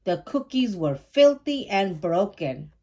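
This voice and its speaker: A woman reads a children's story aloud at an unhurried pace. Her voice sits at a medium pitch, and she narrates expressively, in a measured read-aloud style. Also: big pitch swings, darkish tone. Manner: measured, acts out dialogue.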